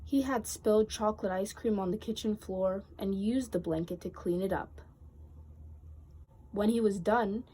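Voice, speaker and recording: A woman reading a children's picture book aloud as a storytime host, with deliberate, expressive storybook delivery. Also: mid-range voice, a little hiss or hum.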